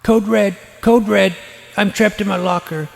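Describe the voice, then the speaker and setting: A man playing a deadpan self-important nerd hero. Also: high-pitched, unhurried, vocal fry, no room sound, heavily acted. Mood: earnest. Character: nerdy middle-school boy narrator posing as a superhero. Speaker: narrator. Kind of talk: scripted audio drama narration.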